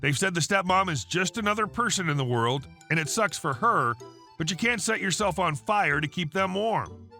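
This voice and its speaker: A man narrating online stories in a steady, even read-aloud delivery. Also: sits high, normal speed, gravelly, faint music behind.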